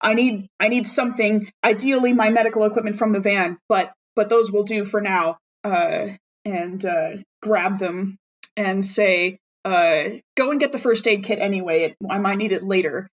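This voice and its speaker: Muffled-ish mic, lady player in a tabletop game, chatty, animated, thinking out loud. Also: medium pitch, normal speed. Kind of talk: casual improvised roleplay chat.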